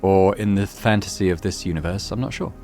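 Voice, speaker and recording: A man hosting a gaming channel, thinking aloud in casual let's-play commentary over faint game music. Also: a little fast. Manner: musing, thinking aloud with lively pitch.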